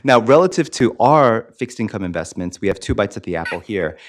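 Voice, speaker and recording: A man's voice: fairly low pitch, animated, brisk, slight background noise. A man presenting investment figures at a committee meeting, explaining them informally and in plain terms.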